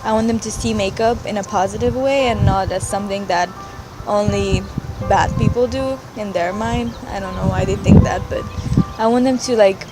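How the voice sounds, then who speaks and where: A woman's voice: medium pitch, normal speed, poor audio. A young woman from Afghanistan answering interview questions in an earnest, casual way, often saying "like" and restarting her sentences. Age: late teens. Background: background music.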